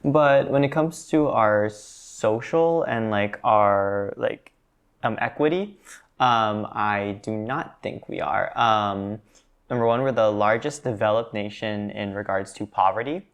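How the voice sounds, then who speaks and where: Thoughtful young man with a low voice. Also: a little slow, smooth. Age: young adult. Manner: thoughtful, hedging with fillers. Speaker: student panelist. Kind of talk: class discussion.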